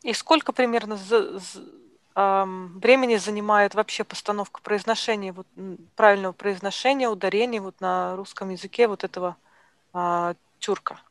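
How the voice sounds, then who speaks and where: A woman's voice: medium pitch, fairly even intonation, moderate pace, no echo. A woman hosting a discussion picks her words hesitantly and fills the gaps with small sounds. Her voice sits in a middle range and is recorded close and dry. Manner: hesitant, many fillers. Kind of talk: conversational discussion.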